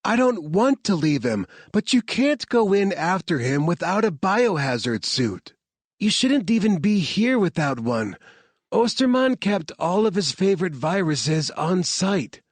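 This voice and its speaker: A man's voice: sits high, big pitch swings, measured pace, no echo, pitched above the performer's own voice, heavily acted. A man voicing an injured, stubborn woman scientist who insists on going into danger, speaking urgently in a raised voice.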